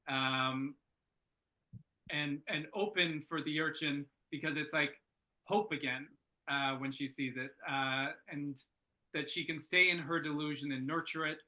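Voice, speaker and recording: Bright-voiced man giving halting, informal feedback. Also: on the high side, rough recording. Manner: halting, thinking aloud. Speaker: script reader giving feedback. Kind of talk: casual workshop feedback discussion.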